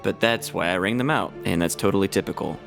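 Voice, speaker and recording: A man's voice: sits low, quick pace, creaky, over music. A man who works as a sound engineer, narrating a scripted explainer as a voice-over.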